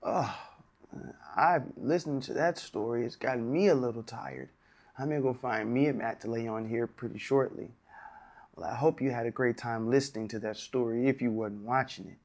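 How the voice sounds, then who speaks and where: A man's voice: mid-range voice, normal speed, a little mellow. Man hosting a children's read-aloud. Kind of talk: addressing young children, hosting a story time.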